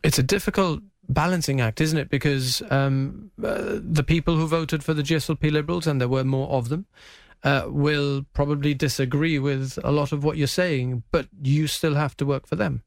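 A man's voice: fairly high pitch, steady tone, normal speed, creaky, dry recording. A man hosting a live current affairs interview, feeling his way through questions with frequent rephrasing. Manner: hesitant, rephrasing questions as he goes. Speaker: radio show host and interviewer.

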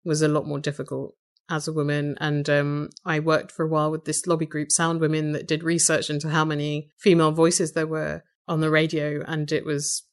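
A woman with an English accent and a low voice with some vocal fry, talking thoughtfully and wryly as a guest in a conversational interview. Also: completely flat delivery, a little fast. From Britain. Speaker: podcast host, interview guest.

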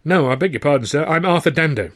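A man's voice: a little high, expressive, fast, a bit bright, no echo, studio quality, pitched above the performer's own voice. A man voicing a bewildered, polite young man in an audiobook, hesitant and stuttering. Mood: polite, puzzled. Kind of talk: audiobook character voice.

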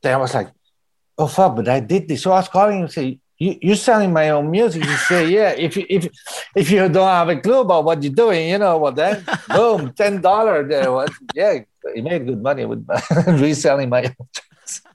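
Middle-aged guy raised in spain, english, lively chatty music producer, keeps repeating words. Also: fairly high pitch, moderate pace, slight background noise. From born in Belgium, raised in Spain. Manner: animated, laughing, repeats words. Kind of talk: casual interview chat.

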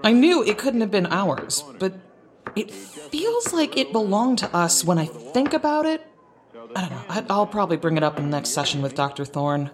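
A woman acting as a grown daughter in an audio drama, young and earnest. Her intonation is lively and conversational, caring with a thread of exasperation. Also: mid-range voice, moderate pace, lightly acted. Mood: pensive, earnest. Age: young adult. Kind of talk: naturalistic audio drama dialogue.

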